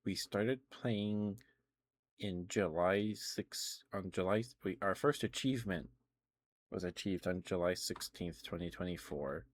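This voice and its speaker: A man with a notably low-pitched voice hosts a casual ASMR livestream. He talks in a loose, meandering way, often circling back to correct himself. The delivery is informal gamer chat, unscripted and conversational. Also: measured pace, dry recording. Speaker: livestream host. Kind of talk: casual rambling ASMR stream chat about video games.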